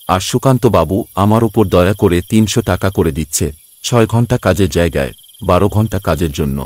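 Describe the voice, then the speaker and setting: Man acting a low-voiced weary village father. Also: a little mellow. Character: weary, devoted village labourer father with back pain. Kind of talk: cartoon voice acting.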